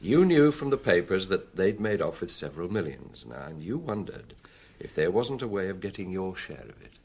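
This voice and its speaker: A shrewd low-voiced British-accented detective. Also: male voice, big pitch swings, slightly rough, exaggerated voice. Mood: probing. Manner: measured, probing, quietly wry. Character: shrewd, avuncular French police detective, middle-aged man. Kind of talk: radio drama acting.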